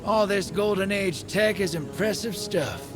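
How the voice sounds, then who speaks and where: Video game rogue: world-weary streetwise gunslinger, slow and slangy drawl, music underneath. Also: male voice, high voice. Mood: breezy, scheming. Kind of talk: video game character dialogue.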